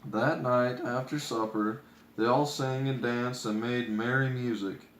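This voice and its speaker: A man reading a children's fairy tale aloud in an expressive storytelling style. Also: mid-range voice, measured pace. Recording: echoey room with background noise.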